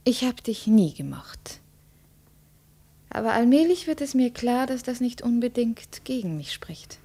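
An actress playing a proud young princess, measured, imperious and quietly intense, in a 1950s German radio drama. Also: female voice, fairly high pitch, sing-song, slightly rough, heavily acted. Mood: cold, reflective.

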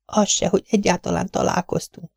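Timid, cowed wife, high and faltering; male reader putting her on. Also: creaky, no echo, studio quality. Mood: whispering. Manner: meek, faltering, hushed. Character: timid, frightened married woman.

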